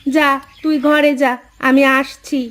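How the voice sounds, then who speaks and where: A woman acts out a hardworking mother from a village moral cartoon. Her voice is a little higher than average, with a nagging, chiding way of complaining. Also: flat delivery, slow, fairly bright tone. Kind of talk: Bengali moral-story cartoon voice acting.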